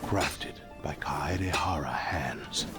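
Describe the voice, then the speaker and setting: A man's voice: very deep voice, slow, exaggerated voice. An actor playing an ancient male sword spirit in a video game, proud, solemn and resigned as it fades. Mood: solemn farewell. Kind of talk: dramatic video game voice acting. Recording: loud music under the voice.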